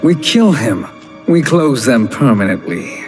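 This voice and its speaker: A man acting as a stern, ruthless fighter in a video game, grave and deliberate. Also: mid-range voice, sing-song, low-quality recording. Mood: menacing. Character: stern, ruthless male fighter in a fantasy war. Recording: loud cinematic music behind.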